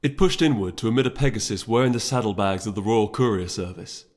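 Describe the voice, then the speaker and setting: A man reading a story aloud as dramatic, solemn and deliberate scripted narration. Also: fairly low pitch, darkish tone. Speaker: story reader.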